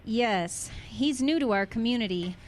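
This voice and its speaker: A woman's voice: a little high, expressive, measured pace, a little hiss or hum. A woman playing a chatty small-town shopkeeper in a radio drama. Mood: puzzled.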